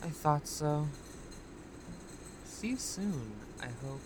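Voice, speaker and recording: An actress playing a calmly manipulative female recruiter in an audio drama, with a measured, condescending delivery. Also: low voice, background noise. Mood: mocking.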